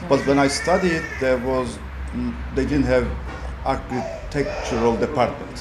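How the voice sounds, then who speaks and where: A man in his forties from Cyprus, a property developer, answering interview questions in a measured, hesitant way with many fillers. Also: mid-range voice. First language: Turkish. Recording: roomy echo with background music.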